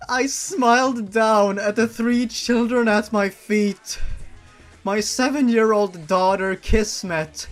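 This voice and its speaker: A performer voicing a gentle aunt who tells a bedtime story, with slow, deliberate delivery, as in a dramatic reading of fan fiction. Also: mid-range voice, voice pushed up, lightly acted.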